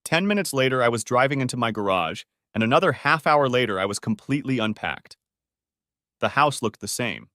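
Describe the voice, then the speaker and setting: A man's voice: mid-range voice, lively intonation, brisk, bright, forceful tone, no echo, clean studio sound. A man narrating a scripted first-person story.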